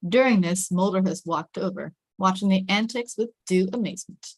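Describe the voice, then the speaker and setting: A woman's voice: medium pitch, normal speed, no room sound. Woman narrating a script, very dry sound. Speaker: narrator reading stage directions. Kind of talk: scripted narration at a table read.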